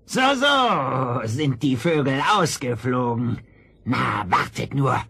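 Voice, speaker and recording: Very raspy, wicked old sorcerer. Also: male voice, medium pitch, expressive, bright, forceful tone, no echo, exaggerated voice. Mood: menacing. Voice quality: raspy, creaky. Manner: slow, menacing muttering. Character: wicked old male sorcerer, menacing, scheming. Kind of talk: children's fairy-tale radio play acting.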